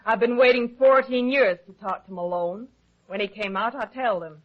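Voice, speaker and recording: Actress as a high-voiced, brazen femme fatale. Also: female voice, normal speed, lightly acted. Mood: coolly matter-of-fact. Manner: taunting, coy. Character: brazen, flirtatious armed female villain, coolly threatening. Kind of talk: old-time radio detective drama acting. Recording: dull, lo-fi old radio recording.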